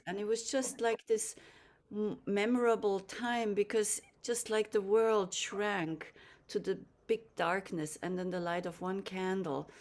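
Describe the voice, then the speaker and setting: A woman, sharing a childhood story on a video call in a soft, hesitant way with frequent pauses. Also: fairly low pitch. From Austria. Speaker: guest musician and storyteller. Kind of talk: relaxed storytelling with gentle pauses.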